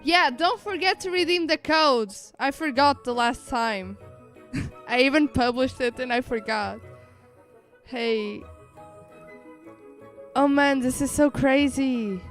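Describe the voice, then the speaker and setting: Female streamer, high voice, unhurried pace, casual excitable reactions, music behind. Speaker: streamer reacting to a game livestream. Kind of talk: casual live reaction chat.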